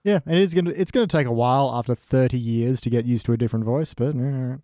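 Male podcast guest, mellow tone, medium pitch, wry, casual conversation, dry recording. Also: fast, no room sound. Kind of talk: casual episode review chat.

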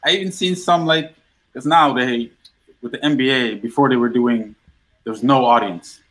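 A middle-aged man from Guyana chatting casually as a guest on a talk show, with a medium-pitched voice. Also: normal speed, fairly bright tone. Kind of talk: casual chat.

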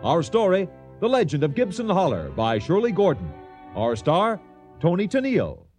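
A man announcing a radio show in a brisk, showy style. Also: high-pitched, wildly swooping pitch, darkish tone, faint music behind.

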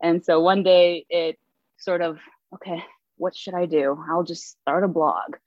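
A woman in her twenties, a guest author, telling her story in a relaxed way with frequent fillers and light laughs. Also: sits low, dry recording. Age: 20s. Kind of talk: casual storytelling. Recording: dull, lo-fi dry audio.

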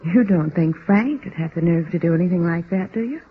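An actress playing a resigned woman killer confessing in a halting, matter-of-fact way in a 1950s radio crime drama. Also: on the low side, close to an everyday voice. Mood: bitter, anxious. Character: woman murderer flatly confessing, weary, resigned. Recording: muffled, noisy old radio recording.

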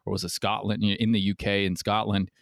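A man's voice: lowish voice, flat delivery, a little fast, gravelly, dry recording, clean studio sound. A man hosting a podcast, asking long rambling questions with enthusiasm. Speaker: podcast host. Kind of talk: podcast interview.

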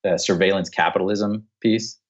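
A man's voice: deep voice, fairly even intonation, moderate pace, husky, highs cut off. A middle-aged man, a guest lawyer, chatting in a laid-back way, swearing casually and laughing readily. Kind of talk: casual podcast chat.

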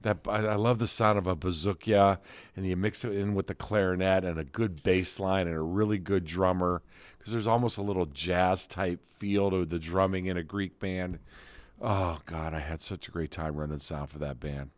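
Very low-voiced rambling storyteller man. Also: fairly even intonation, moderate pace, no room sound. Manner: rambling storyteller, fond and nostalgic. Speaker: podcast co-host. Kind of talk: casual chat.

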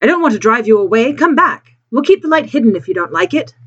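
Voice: mid-range voice, lively intonation, fast, a bit bright, husky, highs cut off, pitched above the performer's own voice. A narrator voicing a hearty, blunt but kindly sailor man who talks in plain colloquial speech. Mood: urgent, reassuring.

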